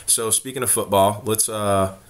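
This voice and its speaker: A very deep-voiced man with a hoarse, worn voice hosts a casual sports chat. He keeps it laid-back, joking with his co-host as he runs through the games. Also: steady tone, moderate pace. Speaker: podcast host.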